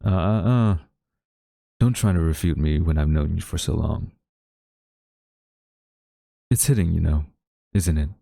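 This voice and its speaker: Very deep-voiced, haughty government official acted by a man. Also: gravelly, dark tone, dry recording, studio quality. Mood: stern, pained. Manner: formal, theatrical, deliberate wording. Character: haughty government official, male, secretly tender toward captured villain. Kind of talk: dramatic audio roleplay monologue addressed to listener.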